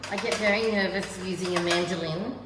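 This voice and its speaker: A woman hosting a cooking show, chatty with quick short replies to her guest. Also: mid-range voice, fairly even intonation, heavy background noise. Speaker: host. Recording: echoey, far from the mic, music behind.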